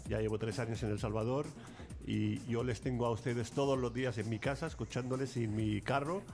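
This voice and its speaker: A middle-aged man Palestine, calmly answering as an ambassador on a radio interview in a studio. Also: medium pitch, brisk, creaky, soft background music. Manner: explains at length, steady and courteous, with small restarts.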